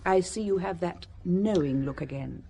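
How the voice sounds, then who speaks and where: An actress playing a prim, earnest, efficient secretary in an audio drama, speaking formally and precisely with reserve. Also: female voice, fairly low pitch, normal speed, creaky, dark tone, slight background noise. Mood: guarded.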